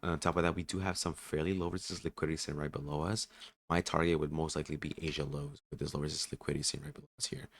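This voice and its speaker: A man who is a bit sick and raspy, casually thinking aloud through a trading session with many fillers and restarts. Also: extremely low pitch, flat delivery, rapid-fire, no room sound. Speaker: day trader streaming his session. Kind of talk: casual market commentary.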